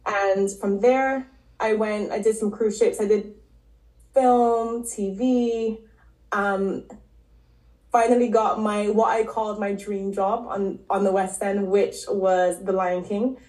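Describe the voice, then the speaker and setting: Woman, from Barbados, health coach, podcast guest, casual storytelling, mid pitch, roomy echo. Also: steady tone, takes it slowly, darkish tone. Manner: storytelling, many ums and likes. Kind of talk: casual interview talk. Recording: echoey room.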